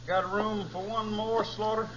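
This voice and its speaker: An actor in a 1950s radio western playing a sullen, challenging young American gunslinger. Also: male voice, high voice, level tone, measured pace, fairly bright tone. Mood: cocky. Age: young adult. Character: touchy, cocky young gunslinger spoiling for trouble. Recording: muffled old radio recording.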